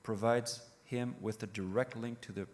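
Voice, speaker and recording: Middle-aged French-accented man, lowish voice, hesitant conference talk in a reverberant hall. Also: steady tone, a little mellow. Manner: halting, many fillers and restarts. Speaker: panel speaker. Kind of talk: conference presentation. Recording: reverberant hall with faint noise.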